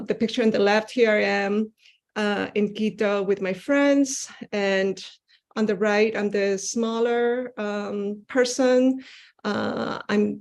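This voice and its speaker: A woman from Ecuador giving an earnest seminar talk about her art over a video call, pausing often with "uh" and "um". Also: mid-range voice. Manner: earnest, with frequent "uh" and "um" fillers. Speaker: guest artist presenting her work. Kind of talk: online seminar presentation with slides.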